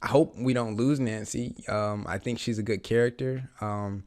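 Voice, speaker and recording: Raspy man recovering from the flu. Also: on the low side, steady tone, moderate pace, darkish tone. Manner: rambling, many fillers and restarts, eager fan. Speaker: reaction channel host. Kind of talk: casual reaction commentary.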